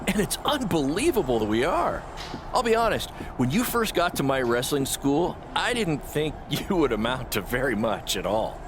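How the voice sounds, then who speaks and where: A man's voice: fairly high pitch, very animated, normal speed, noisy background. A man playing an earnest, remorseful middle-aged wrestling mentor in a video game cutscene, speaking with sincere emphasis. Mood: fond, teasing.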